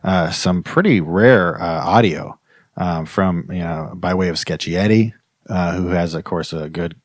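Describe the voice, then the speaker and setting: A man's voice: sits low, a little slow, no echo, clean studio sound. A man hosting an improvised comedy podcast, chatting in a rambling, hesitant way that often trails off. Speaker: podcast host.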